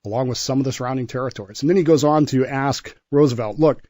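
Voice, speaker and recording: A man with a medium-pitched voice tells history in a conversational way. He is enthusiastic and emphatic, sometimes doubling back on his words. The sound is close and dry, with little room to it. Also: fast talker, darkish tone, no echo. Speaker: history segment guest.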